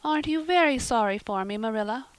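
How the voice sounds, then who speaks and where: A woman's voice: lively intonation, moderate pace, dry recording. A woman playing a dramatic, earnest young girl. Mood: plaintive. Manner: high-flown, earnest phrasing.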